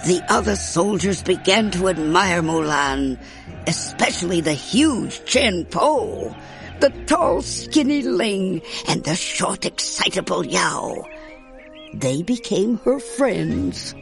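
A woman playing a fond grandmother, narrating a storybook in a measured, expressive way. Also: lowish voice, gravelly, soft background music, full character voice. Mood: fond, dramatic.

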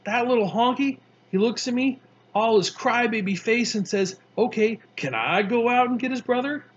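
Cocky street kid bragging loud and high, slangy and excitable, a guy doing the voice, kinda muffled. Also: moderate pace, slightly rough. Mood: excited. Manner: slangy, boastful, excitable storytelling. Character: brash streetwise young boy, cocky and loud.

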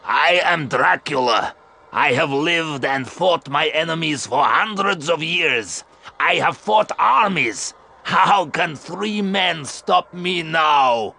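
A man voicing a menacing ancient male vampire count, boastful, slow and booming. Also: lively intonation, gravelly, pushed and bright, heavily acted.

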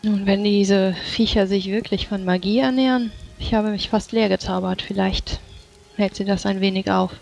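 A woman playing a weary, practical spellcaster in a tabletop game, with a creaky voice. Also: mid-range voice, steady tone, normal speed, darkish tone, lo-fi sound, lightly acted. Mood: tired, tentative. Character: weary fantasy spellcaster, offering practical ideas.

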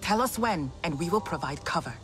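An actress playing a confident, teasing alien clan warrior in a video game, wry and formal. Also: female voice, lowish voice, lively intonation, a little fast, lightly acted. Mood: resolute.

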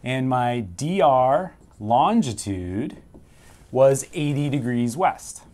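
Man in his forties, mid-pitched, a navigation instructor working through problems step by step at a whiteboard. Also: expressive, slow, a little mellow. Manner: explains step by step, asks and answers his own questions. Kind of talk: whiteboard lesson. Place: small room with framed pictures and signal flags.

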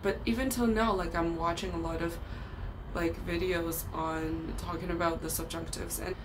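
Young female vlogger, Chinese accent, lower register, earnest explainer tone, outdoor hiss behind her. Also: steady tone, normal speed. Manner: earnest, explanatory, occasional ums and restarts. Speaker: language vlogger. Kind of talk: casual talking-head vlog. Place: outdoors by a brick wall.

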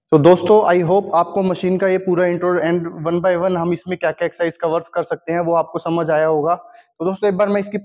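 Indian man, gym equipment seller, product demo, high-pitched, dull lo-fi sound. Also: darkish tone. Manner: explanatory, Hindi mixed with English terms. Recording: long hall reverb, dull lo-fi sound.

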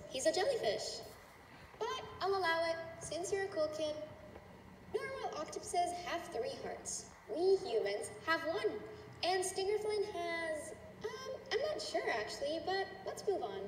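A woman acts out a sweetly condescending schoolteacher from a cartoon horror game, with menace under the cheer. The voice is very high and swoops in pitch. It sounds distant, in an echoing room. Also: full character voice. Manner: sugary, gushing, condescending with veiled threats. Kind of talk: character voice acting, addressing a class. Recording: roomy, distant, echoing with noise.

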